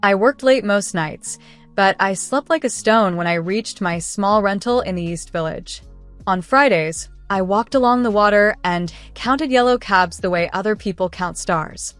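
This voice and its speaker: A woman reading a scripted first-person story in a measured, earnest, literary storytelling manner. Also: mid-range voice, fairly bright tone, soft background music. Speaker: narrator.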